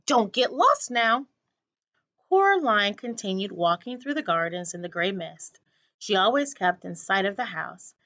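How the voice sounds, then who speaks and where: A woman narrating a children's book aloud in an expressive read-aloud style, like a teacher to a class. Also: mid-range voice, moderate pace.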